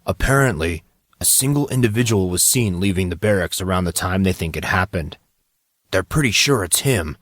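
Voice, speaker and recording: Casting: man for gossipy, eager teen boy in audio drama, conspiratorial, lowish voice, dry close sound. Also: expressive, normal speed, fairly bright tone, no room sound. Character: eager gossiping teenage schoolboy with a big secret.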